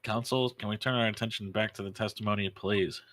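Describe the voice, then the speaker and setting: A man's voice: lowish voice, steady tone, brisk, fairly bright tone, a little hiss or hum, lightly acted. A man voicing a stern, pompous judge in a courtroom video game, speaking very formally.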